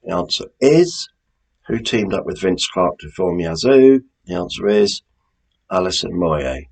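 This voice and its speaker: Deep-voiced, slow-paced British man. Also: very animated, creaky, a little mellow. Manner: chatty, informal, repeats questions. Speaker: quiz host. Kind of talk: informal quiz presenting.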